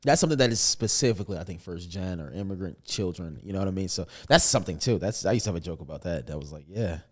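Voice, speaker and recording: Fast-talking joking young man. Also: deep voice, expressive. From Prince George's County, Maryland, US. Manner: quick, joking, acts out his father in quoted bits. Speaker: comedian guest. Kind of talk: casual podcast chat.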